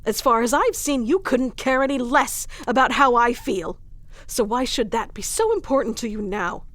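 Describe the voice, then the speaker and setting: Fantasy queen, female actor, audio roleplay: high, very expressive, unhurried, proud yet wounded and earnest. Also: no echo. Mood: confronting, hurt. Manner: earnest, deliberate, emotionally charged delivery. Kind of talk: dramatic audio roleplay acting.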